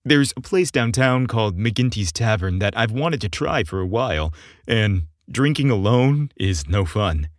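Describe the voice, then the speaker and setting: A friendly, slightly hesitant young man performed by a male audiobook narrator speaks with casual wryness. His intonation moves a lot at an easy, slow pace. The recording is dry. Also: on the low side, lively intonation, dry recording. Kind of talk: audiobook character dialogue.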